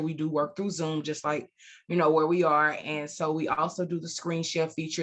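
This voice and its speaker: A woman's voice: low-pitched, a bit bright. A woman speaking as an interview guest, a consultant and trainer explaining and promoting her services over a video call.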